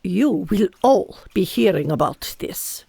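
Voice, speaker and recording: A woman's voice: mid-range voice, expressive, slow, gravelly, mellow, slight background noise, exaggerated voice. A woman playing a fussy, rule-bound paradox specialist with a pompous manner in an audio drama. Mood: indignant.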